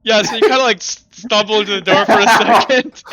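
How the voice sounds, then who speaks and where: A man narrating a tabletop roleplaying game as game master, improvising and often halting to search for words. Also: high voice, noisy background.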